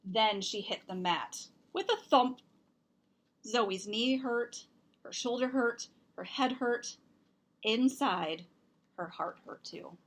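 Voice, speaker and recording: A woman reading a children's picture book aloud, expressively and deliberately, with emphasized words. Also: a little high, fairly bright tone. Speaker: storybook reader and host.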